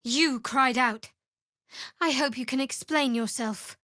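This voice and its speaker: Sardonic young dark cleric for a video game, highish voice, guarded and wry, super dry close recording. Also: female voice, slow pace, no room sound, exaggerated voice. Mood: stern, forceful. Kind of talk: video game character voice lines. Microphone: close studio microphone.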